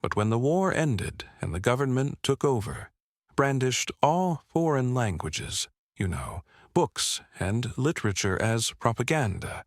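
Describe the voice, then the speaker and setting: A man's voice: medium pitch, sing-song, measured pace, no echo, studio quality. A man in his fifties from Vietnam, whose first language is French, giving an explanatory oral history interview peppered with "right?" check-ins. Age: 50s. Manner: explanatory, often checks with "right?" and "you know".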